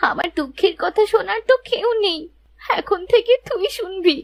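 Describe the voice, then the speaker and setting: A woman's voice: very high voice, moderate pace, creaky, a little hiss or hum. A woman voicing a sad, meek orphan village girl in a cartoon, plaintive and pleading. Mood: wondering, grateful. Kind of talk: melodramatic cartoon voice acting.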